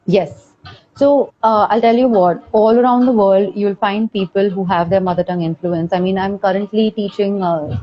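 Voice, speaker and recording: A woman's voice: mid-range voice, steady tone, lo-fi sound, a little hiss or hum. A woman, a teacher giving direct, encouraging advice in a casual chat and slipping into Hindi and Marathi. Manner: encouraging, direct, with filler pauses. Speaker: English teacher, guest.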